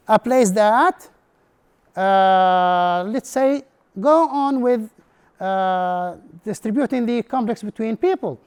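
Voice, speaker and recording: A man's voice: sits high, darkish tone, smooth. A man whose first language is Arabic, giving a presentation as an instructor in non-native English and putting questions to the audience in a room. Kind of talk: prepared talk to an audience.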